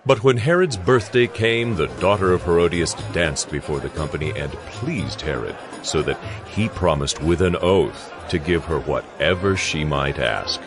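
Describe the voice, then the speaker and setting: A man narrating scripture in a solemn, measured, scripted style for a dramatized audio Bible. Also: low-pitched, expressive. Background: music.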